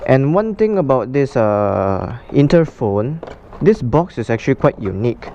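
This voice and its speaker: A man presenting a casual, unscripted product unboxing for a vlog, explaining things in a halting way. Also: medium pitch, big pitch swings, vocal fry, dark tone, lo-fi sound, a little hiss or hum.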